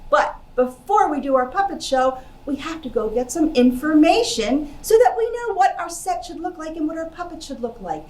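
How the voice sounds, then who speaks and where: A woman's voice: high voice, sing-song, normal speed, hardly any pauses, darkish tone. A woman giving a children's library presentation, explaining things in simple words addressed to young listeners. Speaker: library outreach puppeteer and presenter. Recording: roomy echo, clear background noise.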